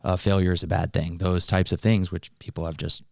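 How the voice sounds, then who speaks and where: A man's voice: sits low, little pitch movement, fairly quick, creaky, a little mellow, dry recording, clean studio sound. A man co-hosting a motivational podcast, explaining things in an informal, colloquial way. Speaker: podcast co-host. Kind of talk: casual motivational podcast talk.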